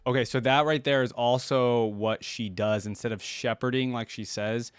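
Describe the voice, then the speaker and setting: A man's voice: mid-range voice, fairly even intonation, moderate pace, smooth, dry recording, studio quality. A man hosting a reaction video, chatting informally to his viewers in casual commentary.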